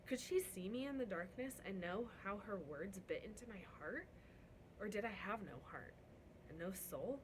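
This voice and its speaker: Low-voiced woman reading, noisy recording. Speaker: book reader. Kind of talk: expressive audiobook-style read-aloud of a novel.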